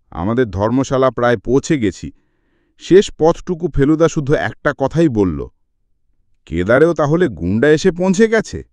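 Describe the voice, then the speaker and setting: Expressive man narrating with wide pitch swings. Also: no room sound. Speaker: audiobook narrator. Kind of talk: expressive scripted story narration.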